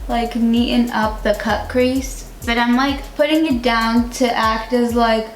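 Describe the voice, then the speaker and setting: A woman's voice: on the high side, fairly even intonation, measured pace. A woman in her twenties chatting casually through a makeup tutorial, with a lot of "like" fillers. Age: 20s. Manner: chatty, uses "like" often. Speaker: beauty vlogger. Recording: echoey room with music behind.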